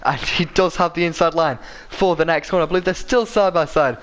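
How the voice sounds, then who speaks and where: A man giving live commentary on an online racing league, his voice croaky from a sore throat, rambling a bit and correcting himself with plenty of ums and uhs. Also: a little high, fairly quick, fairly bright tone. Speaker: race commentator.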